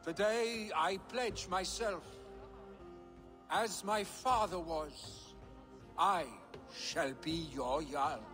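A young heir in a video game, pledging himself to his people with slow, solemn weight. His voice sits high for a man. It rings with a long reverb over quiet game music. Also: exaggerated voice. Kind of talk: video game cutscene acting.

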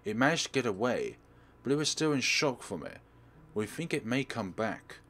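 A man's voice: medium pitch, big pitch swings, moderate pace, fairly bright tone, a little hiss or hum. A man voicing a protective teenage girl in a horror visual novel, explaining things with grave urgency. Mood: grave, urgent. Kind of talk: visual novel character read-aloud.

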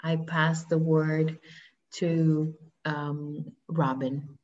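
A young woman from Costa Rica gently introducing herself and welcoming people on a video call. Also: sits low, flat delivery, very slow. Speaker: ceremony host. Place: indoor room. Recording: muffled video call audio.

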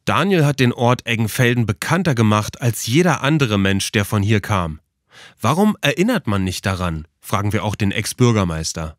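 A man's voice: fairly low pitch, expressive, moderate pace, dry recording, clean studio sound. A man reading scripted narration as the host of a documentary podcast.

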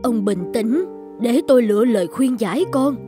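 A woman voicing a calm, persuasive middle-aged mother in a cartoon drama. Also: on the high side, normal speed, close to an everyday voice. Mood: coaxing. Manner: persuasive, placating. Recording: music behind the voice.

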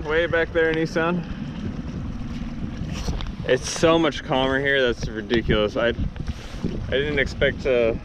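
A young man casually narrating his sailing trip from the boat, relaxed and chatty, laughing now and then. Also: mid-range voice. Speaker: sailing vlogger. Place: outdoors on a small sailboat in wind. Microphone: action camera mounted on the boat. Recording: dull action-camera audio with loud wind and water. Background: wind, waves and outboard motor.

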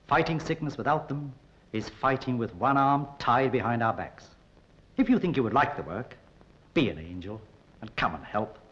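A British male narrator makes an earnest, formal appeal in 1940s film style. His intonation is lively, and the aged recording sounds dull. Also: mid-range voice, normal speed.

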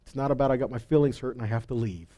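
A man's voice: medium pitch, rapid-fire, mellow, lo-fi sound. A man in his thirties preaching a sermon on a small indoor stage through a headset mic. He gestures as he talks and reads scripture aloud. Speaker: pastor. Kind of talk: sermon teaching.

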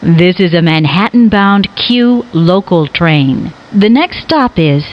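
A woman giving recorded train announcements in a measured, formal way. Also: lowish voice, lively intonation. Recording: dull, noisy recorded transit announcement.

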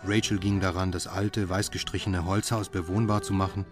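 An actor playing a reflective doctor who narrates his own story in a radio play, in a measured way. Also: sits low, level tone, natural voice. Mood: calm, reflective. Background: music.

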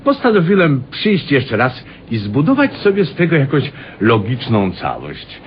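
A man's voice: mid-range voice, huge pitch swings, a little slow. An actor in a radio play as a polite, inquisitive man, courteous and deferential while he probes. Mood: apologetic, curious. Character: polite, inquisitive male stranger, amateur student of people. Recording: muffled old radio recording, faint hiss.

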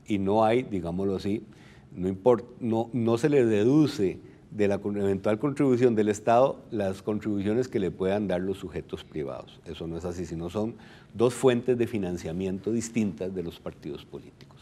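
A middle-aged man with a Costa Rican accent and a low, very mellow voice. He explains in a didactic way as an official interviewed in a TV studio, often checking that he is understood. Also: moderate pace, slight background noise. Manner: didactic, poses and answers own questions. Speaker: electoral registry director, interview guest. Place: television studio. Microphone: lapel mic.

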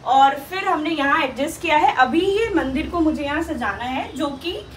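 A woman in her thirties calmly explaining her home during a casual house tour indoors. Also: sits high, moderate pace, recorded from a distance, a little hiss or hum. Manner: calm, explanatory, mixes Hindi and English. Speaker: co-host, sister-in-law. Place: apartment rooms indoors. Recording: echoey room on phone mic.

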